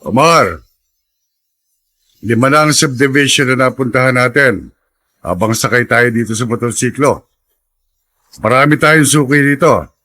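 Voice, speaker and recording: A man's voice: medium pitch, measured pace, long pauses, pushed and bright, no echo. An actor playing a kindly, middle-aged gas dealer, fatherly and encouraging, in a radio drama. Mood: warm, encouraging.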